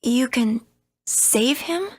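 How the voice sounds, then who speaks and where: Timid apprentice girl, high voice, slow and halting, fragile, video game acting. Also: expressive, no room sound, studio quality, exaggerated voice. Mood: lost, tearful. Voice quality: breathy. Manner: hesitant, halting.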